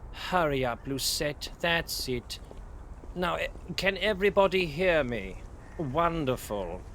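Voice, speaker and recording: A man's voice: on the high side, a bit bright, a little hiss or hum, full character voice. An actor playing a harried, kindly male schoolteacher herding children in a children's audio drama.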